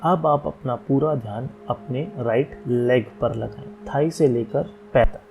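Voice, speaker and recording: A man leading a guided relaxation meditation as an instructor, giving calm, unhurried instructions with long pauses. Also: mid-range voice, creaky, low-quality recording. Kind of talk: guided yoga nidra meditation, slow soothing instructions. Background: meditation music.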